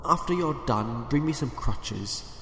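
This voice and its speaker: A man's voice: medium pitch, lively intonation, measured pace, a bit bright, slight background noise. A man playing a sinister doctor in an audio drama, politely menacing and condescending, sometimes murmuring. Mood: cold command.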